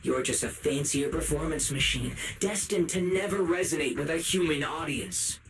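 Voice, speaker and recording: A sinister male sprite from a video game. The voice sits fairly high, dripping with mock sympathy and theatrical scorn. Clear music plays underneath. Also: animated, moderate pace. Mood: scornful mocking. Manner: mocking, falsely sympathetic, theatrical. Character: sinister, taunting male sprite posing as a caring advisor. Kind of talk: dramatic video game voice acting.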